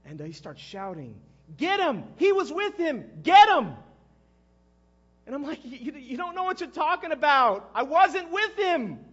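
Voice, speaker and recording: An actor playing a guilt-ridden, impulsive fisherman disciple in a halting, conversational dramatic monologue, with a husky male voice. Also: fairly high pitch, sing-song, lo-fi sound, heavily acted. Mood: uneasy, then frantic shouting. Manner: halting, conversational, erupting into shouts. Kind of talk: dramatic first-person monologue.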